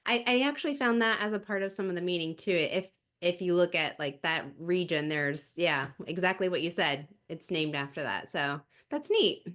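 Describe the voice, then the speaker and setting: A woman's voice: lowish voice, expressive, moderate pace, hardly any pauses, a bit bright. A woman hosting a podcast, asking questions in a friendly, affirming way during a casual interview. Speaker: podcast host.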